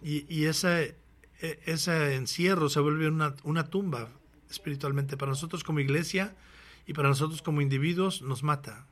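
An earnest pastor calls his congregation to action and then moves into prayer. His voice sits a little higher than most men's. He often breaks off and restarts his phrases. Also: steady tone, normal speed, a bit bright. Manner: earnest, exhorting, with frequent restarts. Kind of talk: sermon with closing prayer.

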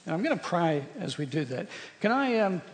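A man with a somewhat high, gravelly and croaky voice preaches a sermon in a relaxed, conversational way. He keeps turning to the congregation to ask if they follow him. His words ring out with the long reverb of a large hall. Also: fairly quick, a little hiss or hum. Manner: conversational, checks in with the audience.

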